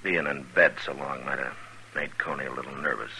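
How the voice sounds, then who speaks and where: Very deep-voiced western marshal. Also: male voice, fairly even intonation, creaky, pushed and bright, full character voice. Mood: easy, alert. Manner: hesitant pauses, measured and understated. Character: laconic, steady frontier marshal in a western. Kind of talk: 1950s radio drama acting. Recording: noisy vintage radio recording.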